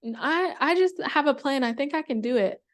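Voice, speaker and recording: A woman voicing a tiny female water spider in a folktale, polite and halting but determined. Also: high voice, expressive, voice pushed up.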